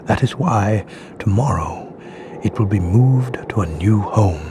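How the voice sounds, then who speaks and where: A man voicing a wise, gentle old wizard headmaster in a video game, with a measured, grave, kindly manner. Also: lowish voice, lively intonation, background noise.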